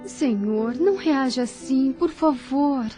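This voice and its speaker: An actress with a Brazilian accent playing a kind, modest twenty-year-old nurse in an audio drama, polite and consoling. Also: female voice, sits high, expressive, takes it slowly, music in the background. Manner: polite, gentle, formal. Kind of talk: Portuguese-language audio drama acting.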